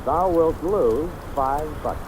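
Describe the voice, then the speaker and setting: A teasing 40s radio detective, mock solemn, swingy delivery, crackly noisy old broadcast. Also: male voice, a little high, lively intonation, slow pace. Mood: wry teasing. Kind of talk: 1940s radio detective acting. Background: crackling, rain-like ambience.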